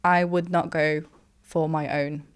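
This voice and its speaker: Smooth, low-voiced young woman guest. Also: flat delivery, measured pace. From Poland. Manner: chatty, rambling, with frequent fillers and laughs. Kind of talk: casual interview chat.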